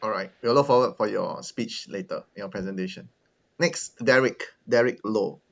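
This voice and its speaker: A man's voice: lowish voice, normal speed, gravelly. Guy hosting a video call meetup, lots of uhs and ums, kinda muffled lo-fi sound. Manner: hesitant, many fillers. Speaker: meetup host. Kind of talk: casual video call chat.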